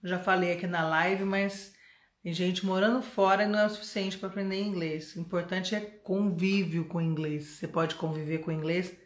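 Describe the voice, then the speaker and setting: A woman's voice: lowish voice, fairly even intonation, brisk, reverberant. A Brazilian woman teaching English in a live stream, chatty and encouraging, often checking in with her viewers. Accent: Brazilian Portuguese.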